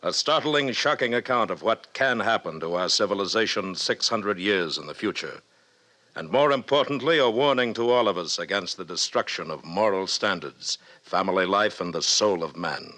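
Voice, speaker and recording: A man's voice: sits low, lively intonation, moderate pace, pushed and bright. An American man announcing in a formal, portentous 1950s radio style. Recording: vintage 1950s radio recording.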